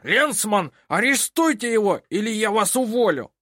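A screechy blustery villain judge, voice jumping all over, dry close mic. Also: male voice, sing-song, measured pace, a bit bright, no echo, full character voice. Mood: raging. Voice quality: shrill, barking, blustering. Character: furious, haughty older male judge, villain.

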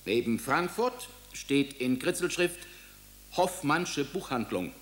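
A man's voice: mid-range voice, expressive, slow, a bit bright. A man giving documentary narration in a radio drama, precise and descriptive.

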